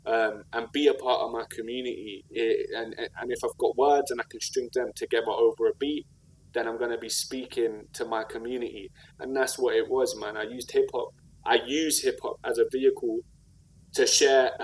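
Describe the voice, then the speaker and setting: A man with a South London accent talks at a middling pitch in a podcast interview. He is earnest and reflective, using street slang and checking in with the listener as he tells his story. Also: darkish tone, low-quality recording. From South London, England. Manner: earnest, slangy, with repeated "like" and "you know what I mean". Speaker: podcast guest, rapper and community activist.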